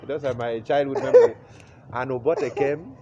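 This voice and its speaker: A man in his forties from Uganda with a Ugandan accent, telling his life story as an interview guest, pausing often with "uh" and chuckling at memories. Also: medium pitch, very animated, dark tone. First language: Acholi. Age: 40s. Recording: slightly muffled with faint noise.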